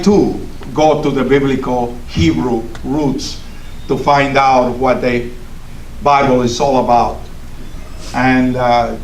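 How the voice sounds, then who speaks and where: A man's voice: medium pitch, slight background noise. An older man from Cuba with a Cuban Spanish accent, native Spanish speaker, giving an emphatic, preacherly political speech to a meeting in a congregation hall. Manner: emphatic, preacherly, builds with repetition. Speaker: guest speaker. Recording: echoey hall from far off.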